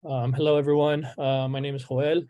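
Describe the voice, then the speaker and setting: A man's voice: mid-range voice, little pitch movement, fairly quick, muffled recording. A man introducing himself on a panel, hesitant with many fillers.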